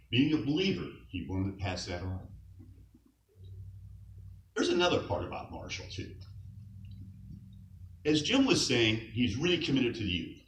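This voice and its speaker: A man's voice: on the low side, far from the mic. A middle-aged man, a scout leader, gives an informal eulogy in a conversational way, sharing light anecdotes and chuckling now and then. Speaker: scout leader speaking at a memorial. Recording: echoey hall, speaker off-mic, faint noise.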